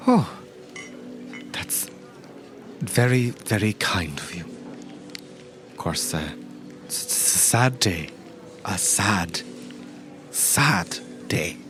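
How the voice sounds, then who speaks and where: Low-voiced ninety-year-old landlady, played by a man. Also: animated, music in the background, exaggerated voice. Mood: halting, subdued. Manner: halting, rambling, folksy. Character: grieving old woman pub landlady, around ninety, chatty.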